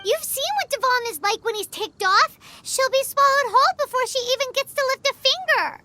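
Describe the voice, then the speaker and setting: A woman voicing a tiny, excitable female companion creature who talks in a shrill, scolding way. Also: brisk, no echo. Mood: exasperated. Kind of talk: video game cutscene dialogue.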